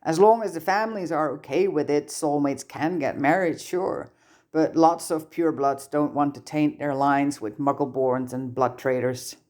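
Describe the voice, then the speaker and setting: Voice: medium pitch, normal speed, a little mellow, lightly acted. A narrator voicing a casual, matter-of-fact young wizard boy with a slightly wistful streak in an audiobook story.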